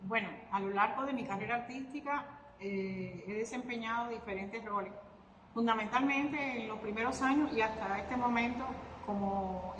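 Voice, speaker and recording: Cuban woman in an echoey hall. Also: mid-range voice. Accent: Cuban Spanish. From Cuba. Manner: reflective, with hesitant fillers and restarts. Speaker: guitarist and artist interviewee. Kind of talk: conversational interview answers. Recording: echoey hall with faint ambient noise.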